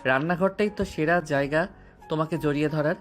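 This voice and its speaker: A man's voice: a little high, brisk, lightly acted. A male voice actor playing a playful, devoted young husband in an audio romance drama. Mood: tender. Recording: music bed underneath.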